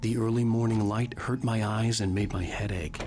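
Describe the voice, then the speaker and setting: A man's voice: fairly low pitch, steady tone, normal speed, noisy background, lightly acted. An actor playing a weary, troubled man, subdued and serious, the protagonist of a game. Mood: brooding. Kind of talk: dialogue and first-person narration.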